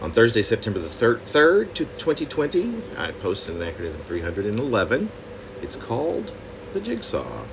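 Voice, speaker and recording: A man reading his own poem reflectively, in deliberate, halting phrases with pauses. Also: fairly low pitch, huge pitch swings, vocal fry, noisy background. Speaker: poet reading own work.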